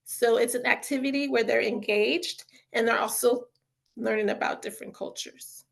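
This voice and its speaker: A woman's voice: fairly high pitch, steady tone, normal speed, creaky. A woman giving a casual webinar talk as an author and educator, chatty and full of fillers, upbeat about children's learning. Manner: chatty, lots of fillers like "you know" and "um".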